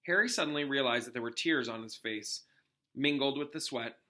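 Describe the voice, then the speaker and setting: A man, a teacher, reading a novel aloud as expressive storybook narration. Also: mid-range voice, a little fast.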